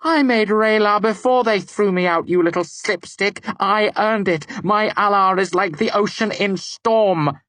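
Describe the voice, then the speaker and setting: A man voicing a fierce, proud young woman moneylender with a sharp, mocking manner. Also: fairly high pitch, animated, moderate pace, vocal fry, breathy edge, no echo, voice pushed up, full character voice. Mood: furious. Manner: sharp, mocking, rising to shouts.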